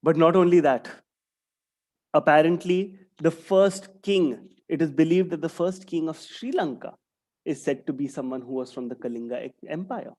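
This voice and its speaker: A man's voice: fairly high pitch, moderate pace, a little mellow. A young man, giving an online talk to young schoolchildren over a video call and ending many sentences with "right?". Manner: tags sentences with a questioning "right?". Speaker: guest speaker for schoolchildren.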